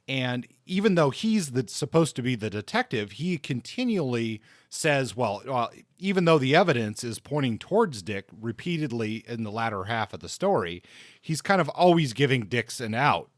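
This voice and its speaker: Guy podcast cohost chatting about books, thinks out loud, medium pitch, really dry sound. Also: very animated, moderate pace, no room sound. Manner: conversational, thinks aloud. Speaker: podcast co-host discussing books. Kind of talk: casual book discussion.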